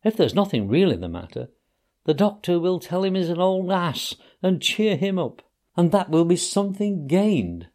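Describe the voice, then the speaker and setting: A man voicing a brisk, hearty male water rat who talks bluntly to his friend. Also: sits high, very animated, a little mellow, voice pushed up. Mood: reasoning. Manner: hearty, bluff.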